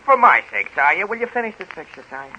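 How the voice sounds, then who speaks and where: Sharp-voiced, flustered movie producer. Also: male voice, sits high, big pitch swings, fairly quick, lo-fi sound, full character voice. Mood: flustered, blustering. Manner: flustered, stammering, repetitive. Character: blustering movie producer, fawning and placating toward his star. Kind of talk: 1930s radio comedy acting. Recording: noisy 1930s radio recording.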